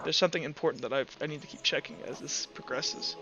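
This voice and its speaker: A man narrating a tabletop roleplaying game as game master in an animated, casual, profane way. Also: fairly high pitch, fast talker, music in the background.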